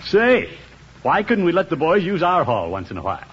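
Vain blustery commissioner, middle-aged, 1950s radio comedy, very animated pitch, muffled noisy recording. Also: male voice, fairly quick. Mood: flustered. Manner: pompous, exclaiming, grumbling.

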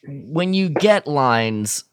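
A man's voice: medium pitch, animated, drawn-out words, no room sound, clean studio sound. A man co-hosting a podcast in casual chat, speaking emphatically and with enthusiasm. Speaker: podcast co-host.

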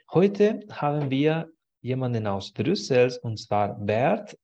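Male podcast host, mellow mid voice, rephrases questions, dull lo-fi recording. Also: mid-range voice, creaky. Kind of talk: hosting a podcast interview. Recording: dull, lo-fi sound.

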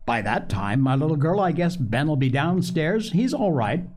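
A man's voice: on the high side, big pitch swings, normal speed, a little mellow. A man voicing a kindly, encouraging parson who visits sick children in a storybook.

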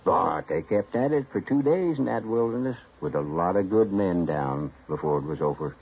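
A man's voice: low-pitched, lively intonation, moderate pace, husky, full character voice. A man giving a folksy, drawling spoken introduction in a vintage radio broadcast. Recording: tinny old radio broadcast.

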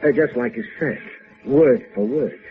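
A man's voice: medium pitch, unhurried, over loud music, natural voice. An actor playing a taunting, sarcastic henchman villain in a 1950s radio drama. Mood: sly sarcasm. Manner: sarcastic, goading. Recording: muffled, phone-like old radio recording.

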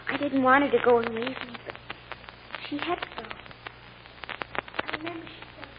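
A woman's voice: high voice, normal speed, close to an everyday voice. An actress playing a trusting, innocent six-year-old girl in a childlike, earnest way for a 1940s radio drama. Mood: wistful. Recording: muffled, hissy old radio recording.

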